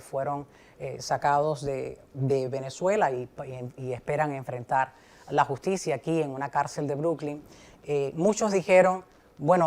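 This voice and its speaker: A woman from Cuba hosts an interview in a low, deep voice. She asks probing questions in an easy conversational way. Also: darkish tone. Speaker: host.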